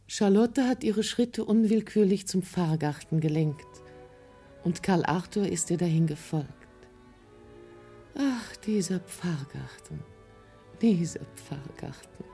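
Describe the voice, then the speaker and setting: A woman's voice: fairly low pitch, animated, slow pace, warm tone, soft background music. A woman giving dramatic literary narration in a radio drama.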